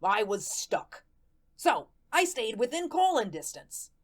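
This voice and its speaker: A woman's voice: on the high side, sing-song, takes it slowly, full character voice. A woman playing a naive, lovelorn male ghost who narrates his story in folksy, slangy talk, in the style of a radio play. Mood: chatty, guileless. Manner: folksy, colloquial, guileless storytelling.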